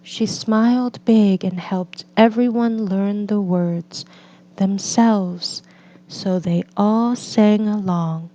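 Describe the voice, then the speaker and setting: A woman reading a picture book aloud as a storyteller, in gentle, deliberate phrases with drawn-out pauses. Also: mid-range voice, fairly even intonation. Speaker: read-aloud storyteller for children and English learners. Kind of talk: slow scripted picture-book narration. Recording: slightly dull, lo-fi recording.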